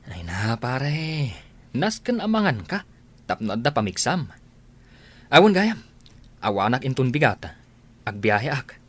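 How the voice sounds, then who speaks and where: A breezy, persuasive male buddy with an Ilocano accent. His mid-pitched voice swings widely up and down in radio drama style. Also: very animated, measured pace, pauses often, dry recording. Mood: concerned.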